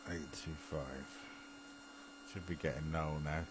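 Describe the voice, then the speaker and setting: A man's voice: a bass voice, fairly even intonation, measured pace, noisy background. A British man in a rambling, chuckly casual chat, often muttering to himself as he operates an amateur television link.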